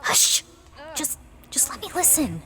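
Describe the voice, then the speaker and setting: An actress playing an anxious, sardonic young aspiring beastmaster in an audio drama, halting and nervous. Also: female voice, high voice, very animated, sharp tone, in a large hall, soft background music, heavily acted. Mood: hushed, tense. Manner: nervous, halting, bossy with sarcasm. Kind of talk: comedic audio drama acting.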